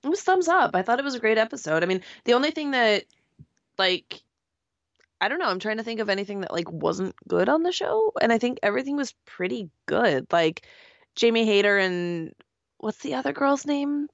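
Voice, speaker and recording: A woman's voice: on the high side, big pitch swings, moderate pace, gravelly, no echo, dull audio. A woman co-hosting a wrestling podcast, her voice worn from being sick, easygoing and laid-back in casual chat. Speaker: podcast co-host.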